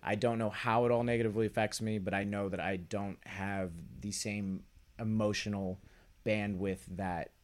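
A man's voice: on the low side, fairly even intonation, moderate pace. A young man, a comedian, telling a rambling, wry story in casual podcast chat. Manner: rambling, wry, trails off into quoted asides. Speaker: comedian guest.

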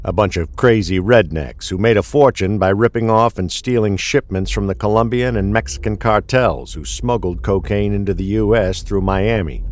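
A man acting a world-weary veteran cop in a crime audio drama, low-voiced and curt. He is an older detective, gruff and blunt, close to retirement. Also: moderate pace, hardly any pauses, lightly acted. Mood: grim recounting. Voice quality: low-pitched.

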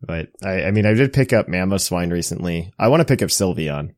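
A man's voice: low-pitched, expressive, brisk, darkish tone, no room sound, clean studio sound. A man hosting a podcast in a casual, joking, self-deprecating chatty way. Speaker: podcast host. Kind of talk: casual chat.

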